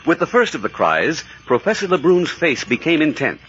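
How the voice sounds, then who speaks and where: Dramatic old-time radio announcer, lively theatrical narration, muffled recording. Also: male voice, a little high, normal speed. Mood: suspenseful. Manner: suspenseful, theatrical. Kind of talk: 1940s radio scripted narration. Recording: dull, noisy vintage radio recording.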